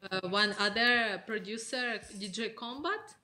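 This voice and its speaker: A woman co-hosts a casual DJ chat over a video call, choosing her words with slow, halting pauses. Her voice is bright and sharp. She speaks at an unhurried pace. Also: mid-range voice, soft background music. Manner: slow, hesitant, halting phrasing. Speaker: DJ and podcast co-host.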